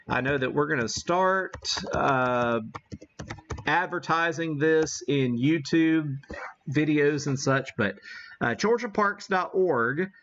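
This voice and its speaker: A man's voice: on the high side, slow, a bit bright, a little hiss or hum. Man livestreaming in slightly dull audio. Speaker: host of a ham radio livestream. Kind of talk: casual live-stream chat and demonstration.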